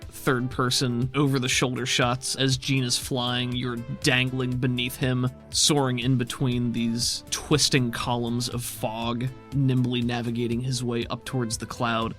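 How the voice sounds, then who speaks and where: A man's voice: mid-range voice, level tone, measured pace, a bit bright, soft background music. A man working as game master, improvising descriptive, conversational narration for a tabletop game.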